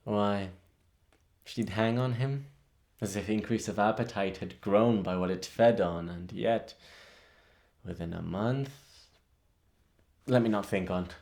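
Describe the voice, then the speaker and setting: An actor playing a grieving, bitter young prince in a theatrical monologue, brooding and halting, rising into outbursts. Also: male voice, lowish voice, darkish tone, natural voice. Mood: bitter anguish.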